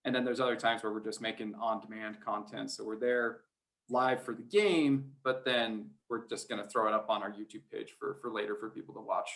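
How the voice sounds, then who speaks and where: A man's voice: on the low side, fairly even intonation, brisk, a bit bright, rough recording. A man speaking as an interview guest, a sports announcer telling anecdotes in an easygoing, chatty, self-deprecating way. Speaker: podcast guest, high school sports play-by-play announcer.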